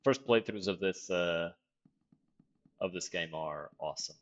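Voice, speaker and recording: A man's voice: sits low, unhurried, muffled recording. A man from Portugal with a South African-tinged accent, streaming a game and chatting casually, with profane outbursts. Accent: South African-tinged English. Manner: casual and profane, sudden loud yelps of surprise. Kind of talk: live gameplay commentary, reading chat.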